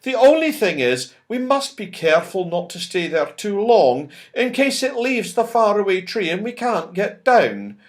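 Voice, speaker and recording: A man voicing a gentle young fairy girl in a children's storybook. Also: sits high, sing-song, measured pace, few pauses, voice pushed up. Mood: sweet.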